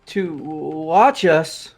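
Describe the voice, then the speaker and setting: A man's voice: fairly high pitch, very slow, full character voice. A man plays a jittery young slacker from a video game. He sounds incredulous and easily confused, talking in slang over faint music. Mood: bewildered. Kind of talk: amateur voice acting of a video game.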